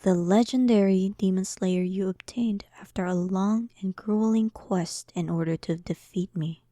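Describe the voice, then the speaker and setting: A woman's voice: medium pitch, dark tone, no echo, studio quality. A woman voicing an arrogant, sarcastic demon queen in a roleplay audio drama, teasing and condescending, often dropping toward a whisper. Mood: mocking, commanding.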